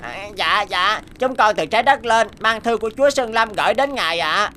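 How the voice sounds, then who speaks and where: A woman's voice: mid-range voice, animated, moderate pace, slight background noise. A woman voicing a small, loyal dragonfly scout in a cartoon, earnest and eager in a youthful way. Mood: eager, respectful. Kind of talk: cartoon dub acting. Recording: loud music behind the voice.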